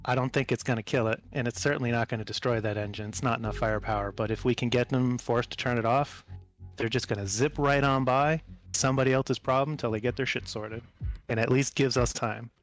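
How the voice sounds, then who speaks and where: Man as seasoned male combat pilot, deliberate, matter-of-fact, cocky, medium-low pitch, tabletop roleplay, faint music. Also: steady tone, natural voice. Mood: determined, wry.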